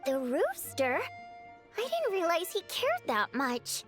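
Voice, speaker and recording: A woman's voice: very high-pitched, huge pitch swings, slow pace, a bit bright, faint music behind, exaggerated voice. A woman playing a haughty, indignant young Fae theater performer in a video game, with a theatrical, probing manner.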